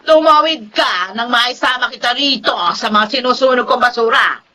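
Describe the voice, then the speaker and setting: A woman's voice: on the high side, normal speed, bright, forceful tone, recorded from a distance, a little hiss or hum. A woman playing a combative, taunting Filipina grandmother in a radio drama feud. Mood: combative, threatening. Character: feisty grandmother feuding with her neighbor.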